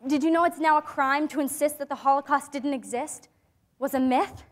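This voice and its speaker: A gentle teenage girl played by a young woman speaks in a high voice. She is earnest and hopeful, articulating each thought in a measured way, as in a dramatic stage reading. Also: lightly acted. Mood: earnest, thoughtful. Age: young adult. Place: theatre-like stage in a conference hall. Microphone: lapel.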